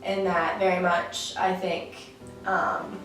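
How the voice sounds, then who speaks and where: A woman's voice: fairly low pitch, level tone, takes it slowly. A teenage woman from Massachusetts and New Hampshire answering interview questions casually, saying "like" a lot. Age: teens. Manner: casual, lots of "like". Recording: echoey room from far off, music behind.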